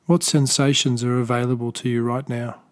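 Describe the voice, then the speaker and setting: Soft-spoken man guiding a meditation. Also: medium pitch, flat delivery. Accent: Australian. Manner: slow, soft-spoken, gentle guidance with pauses; unscripted, with small restarts. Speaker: meditation teacher. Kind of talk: guided body scan meditation.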